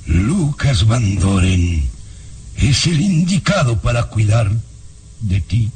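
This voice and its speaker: An actor playing a stern, secretive baron and father in a melodramatic radio drama, commanding and deliberate. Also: on the low side, big pitch swings, darkish tone. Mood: grave, evasive. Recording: faintly noisy old radio recording.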